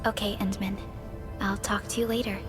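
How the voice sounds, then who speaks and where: A woman's voice: medium pitch, level tone, normal speed, music in the background, close to an everyday voice. A woman playing an earnest, dutiful supervisor in a video game, with formal diction. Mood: earnest, serious.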